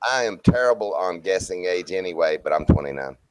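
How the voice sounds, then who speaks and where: A man's voice: low-pitched, lively intonation, fairly quick, clean tone, no room sound, poor audio. A man joking and boasting in a casual online group chat, often stumbling and restarting. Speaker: livestream chat participant.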